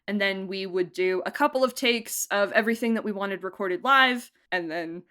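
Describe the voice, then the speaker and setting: A woman with a medium-pitched voice speaks in a casual interview as a producer and director of audio drama. She talks with open enthusiasm, telling things in an animated, conversational way. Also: moderate pace, smooth. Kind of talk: casual behind-the-scenes chat.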